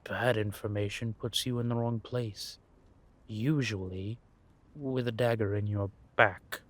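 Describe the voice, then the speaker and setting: A man playing a centuries-old vampire spymaster in a roleplay audio drama, patient and wry, speaking in measured phrases with deliberate pauses. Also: lowish voice, steady tone. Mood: reflective, instructive.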